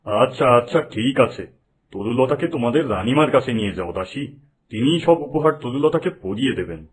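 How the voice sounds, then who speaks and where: A man voicing a dignified, doting king and father in an animated fairy tale, benevolent and stately. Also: mid-range voice, fairly quick, slightly rough. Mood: troubled.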